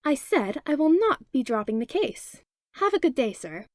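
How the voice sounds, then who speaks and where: A woman voicing a small, fearless female lawyer who talks sweetly and deliberately. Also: high voice, big pitch swings, no echo, clean studio sound, voice pushed up. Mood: sweetly cutting. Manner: sweet, cutting, slow and deliberate.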